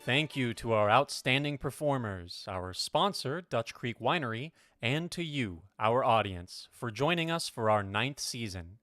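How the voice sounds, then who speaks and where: A man speaks as the announcer of a radio drama show. His pitch is average and his delivery scripted, in a dry recording. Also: lively intonation, unhurried, few pauses, fairly bright tone, dry recording.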